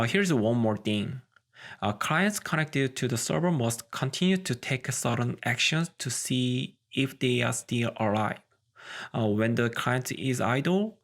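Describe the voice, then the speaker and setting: A man presenting a scripted technical tutorial, explaining things methodically step by step. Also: medium pitch.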